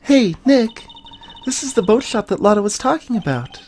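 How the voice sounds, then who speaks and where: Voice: medium pitch, big pitch swings, normal speed, darkish tone, voice pushed up. A let's play host voicing a perky, eager teenage girl from a mystery game.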